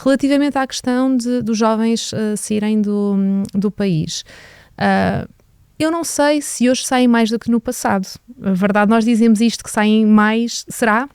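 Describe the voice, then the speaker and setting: A woman's voice: fairly high pitch, a little slow, a little mellow, smooth, no echo, clean studio sound. A woman from Portugal with a European Portuguese accent, a guest on a business podcast, speaking earnestly and reflectively with frequent hesitations. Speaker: HR director guest.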